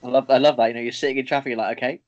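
A man's voice: medium pitch, steady tone, very fast, dull audio. A young man with a Northern English accent hosting a podcast, upbeat and friendly, laughing easily as he chats. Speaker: podcast host. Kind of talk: casual conversation.

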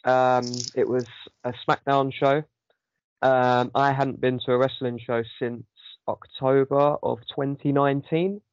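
A man's voice: medium pitch, little pitch movement, unhurried, smooth, dry recording, muffled recording. A man hosting a casual chat podcast with a sore throat, rambling with many ums. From United Kingdom. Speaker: podcast host.

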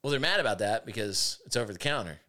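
A man with a raspy voice, hosting a podcast with opinionated, emphatic casual talk. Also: on the low side, animated, quick pace, sharp tone. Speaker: podcast host.

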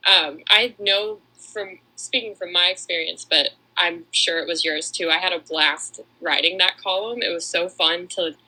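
A woman's voice: on the low side, fairly even intonation, measured pace, few pauses, bright, forceful tone, poor audio. A woman from Atlanta in the United States chatting casually and a little self-deprecatingly as a podcast co-host. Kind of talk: casual chat.

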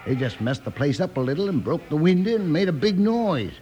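A man's voice: on the high side, very animated, fairly quick, mellow, muffled recording. An actor playing a puzzled, folksy frontier townsman in an old-time radio western. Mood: puzzled, concerned.

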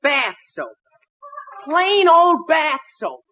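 An actor playing an excitable, aggrieved teenage boy in a 1940s radio sitcom. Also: very high voice, drawn-out words, full character voice. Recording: muffled 1940s radio broadcast.

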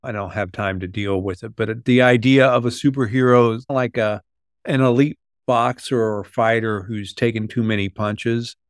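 A man chatting casually as a podcast host, musing in halting phrases. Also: medium pitch, fairly even intonation, no room sound, studio quality. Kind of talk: casual conversation.

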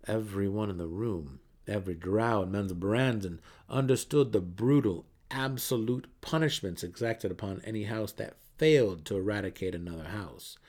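A man's voice: on the low side, normal speed, hardly any pauses, darkish tone. A man reading a fantasy novel aloud as a dramatic audiobook narrator.

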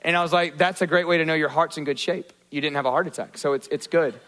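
A male pastor preaching a sermon, asking the congregation questions and acting out quotes in lively voices. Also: on the high side, fast. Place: church.

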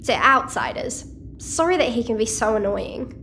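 A quirky teenage hacker girl, speaks in a high voice that swoops with cheeky energy. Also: expressive, normal speed, creaky, sharp tone, slight background noise, full character voice. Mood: friendly, teasing. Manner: cheeky, chatty, slangy. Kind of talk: audio-fiction drama dialogue.

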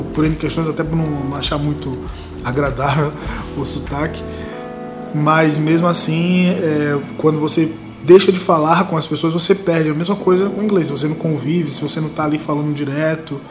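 Casting: young Brazilian man, faint Bahian accent, slightly high voice, easygoing Q&A host, music bed underneath. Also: fairly quick. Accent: light Bahian Brazilian Portuguese, mostly faded. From Salvador, Brazil. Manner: relaxed, joking, laughs at questions. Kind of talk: casual Q&A chat. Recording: music playing behind the voice.

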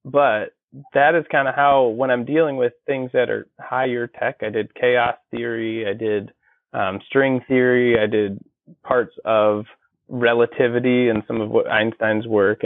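Gravelly man on a tinny line. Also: mid-range voice, dry recording. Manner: explanatory, builds plain analogies. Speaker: guest, engineer and copywriter. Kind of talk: conversational interview. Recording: dry tinny phone-like line.

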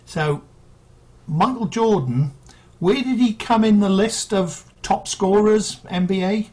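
A man's voice: high voice, slow, a little mellow. A man co-hosting a casual chat, putting basketball questions to the others in a matter-of-fact way. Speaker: podcast co-host. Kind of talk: casual chat, sports quiz.

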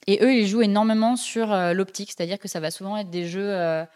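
A woman's voice: medium pitch, fairly even intonation, fast talker, darkish tone. A young woman hosting a casual podcast, talking chattily with many hesitations and fillers. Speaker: podcast host.

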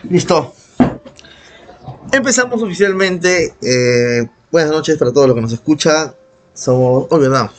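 A man's voice: fairly high pitch, animated, unhurried. A man hosting a podcast in casual, humorous chat, with an irreverent, profane way of talking. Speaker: podcast host.

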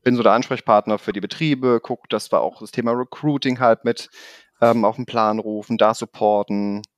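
A rapid, energetic middle-aged man whose German carries a blend of Swabian and Rhineland dialect. His voice sits a little low and sounds dry, close-miked and free of room echo. He jokes about himself and fills his pauses with quick "ähm"s. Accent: mix of Swabian and Rhineland German. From Koblenz, Rhineland, Germany. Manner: fast, energetic, self-joking, frequent fillers. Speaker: podcast guest, training institute manager. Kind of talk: casual interview talk.

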